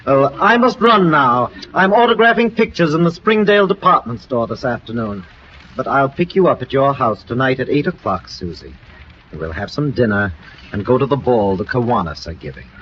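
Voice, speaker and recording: An actor playing a suave, flattering celebrity romancer with florid, ardent delivery in a 1940s radio drama. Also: male voice, medium pitch, moderate pace. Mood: smug, courtly. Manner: florid, ardent, smooth-talking. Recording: dull old radio recording with music.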